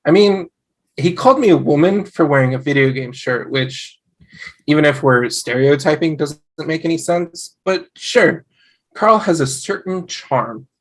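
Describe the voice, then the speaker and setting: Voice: medium pitch, expressive, measured pace. An actor playing a skeptical young husband with a dry, casual manner in a comedy script read. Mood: wry.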